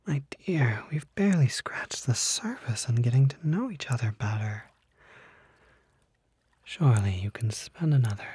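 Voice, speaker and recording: A man playing a smooth-talking, unsettling kelpie in an ASMR roleplay, breathy and half-whispered, with halting, coaxing, genteel speech. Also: lowish voice, dark tone, no room sound, exaggerated voice. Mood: coaxing, reluctant. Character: unsettling smooth-talking male kelpie, charming, needy, secretly predatory.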